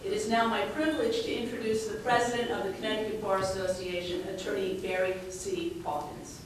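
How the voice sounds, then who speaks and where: A woman's voice: mid-range voice, fairly even intonation. Woman giving a formal speech, very echoey. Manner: measured, formal. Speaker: chief judge giving ceremony welcome. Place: large echoey courtroom. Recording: very echoey, distant mic, faint noise.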